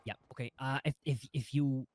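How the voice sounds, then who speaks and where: Man, roleplay ex-prosecutor, measured, lecturing, mid pitch. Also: steady tone. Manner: measured, lecturing, hedged with restarts. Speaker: roleplay ex-prosecutor advising a police meeting. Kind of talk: in-character roleplay meeting talk.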